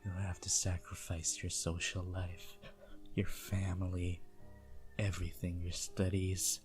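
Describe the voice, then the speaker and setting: A man playing a possessive, seductive vampire prince in a teasing, intimate ASMR roleplay with quiet menace. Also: sits low, monotone, unhurried, soft background music.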